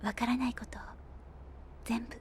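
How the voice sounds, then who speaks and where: An actress playing a thoughtful young woman scientist in an audio drama, gentle, reflective and politely spoken. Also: on the high side, flat delivery, normal speed, slight background noise, natural voice. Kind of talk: Japanese audio drama acting. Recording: soft music bed behind.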